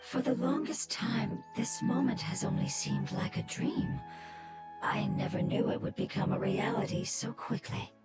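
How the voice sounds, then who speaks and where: A woman voicing a gentle, sorrowful creature longing for its homeland in a video game, speaking formally and solemnly with breath that often sinks toward a whisper. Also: medium pitch, close to an everyday voice. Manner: formal, solemn, slow and deferential. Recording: music behind the voice. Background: game music.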